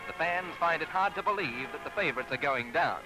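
A man's voice: fairly high pitch, expressive, a little fast, low-quality recording. An Australian man narrating a sports newsreel in scripted 1950s commentary style, with wry, colourful phrasing on a vintage soundtrack. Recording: vintage newsreel soundtrack, loud music behind. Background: loud music.

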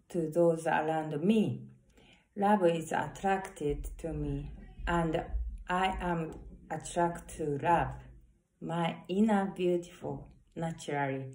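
A woman with a strong Japanese accent speaks in a low, unhurried voice, reading affirmations to her phone. She talks earnestly, stops to correct herself, and takes her time over each word. She is recorded up close in the corner of a small room. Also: a little mellow. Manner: slow, earnest, halting English with self-corrections. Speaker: saxophonist vlogger. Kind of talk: reading love affirmations to camera. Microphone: phone camera at arm's length.

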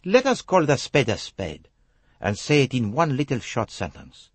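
A courteous, shrewd older foreign detective. He speaks formally and with slow emphasis, his husky voice moving in lively swings of pitch. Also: no echo. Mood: grave, insistent.

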